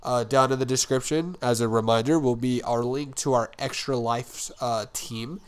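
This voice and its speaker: A man's voice: medium pitch, steady tone, moderate pace, clean tone. A young man hosting a gaming show with casual, joking commentary in a playful, teasing manner.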